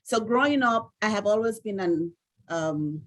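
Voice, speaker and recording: A woman with a medium-pitched voice and a Sierra Leonean accent talks on a video call. She introduces herself to a class in a measured, articulate way. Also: lively intonation, fairly bright tone. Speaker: workshop participant.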